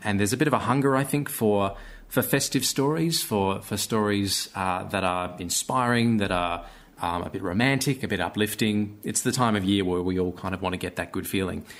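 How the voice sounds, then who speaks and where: An Australian man speaking conversationally as an interview guest, earnest and hesitant as he searches for his words. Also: sits low. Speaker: guest, theatre maker and actor.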